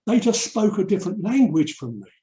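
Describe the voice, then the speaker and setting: Older english guy doing a thoughtful talk online, fairly high mellow voice, earnest, roomy echo. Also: sing-song, fairly quick. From England. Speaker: urban mission speaker and theologian. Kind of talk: reflective webinar talk. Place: indoor room, online webinar. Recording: roomy, echoey webinar audio.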